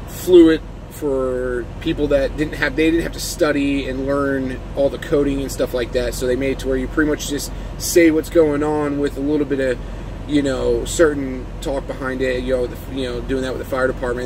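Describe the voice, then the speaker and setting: A man's voice: mid-range voice, normal speed. A man who drives trucks talks in a casual, unscripted vlog, rambling informally as he explains things from his parked truck. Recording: clear steady vehicle and engine-like noise.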